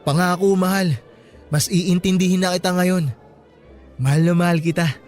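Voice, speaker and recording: A man's voice: high voice, fairly even intonation, moderate pace, a little mellow, lightly acted. A man with a Filipino accent playing an affectionate, playful boyfriend in a radio drama. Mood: earnest, tender. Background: background music.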